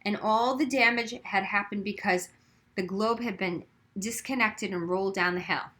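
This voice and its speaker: Bright-voiced woman reading a story. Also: mid-range voice, normal speed. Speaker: audiobook reader. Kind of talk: expressive story narration.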